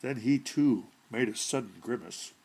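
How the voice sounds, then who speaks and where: A man narrating a short story from a script with deliberate, theatrical delivery and a gravelly, husky voice. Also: medium pitch, a little mellow. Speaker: audiobook narrator.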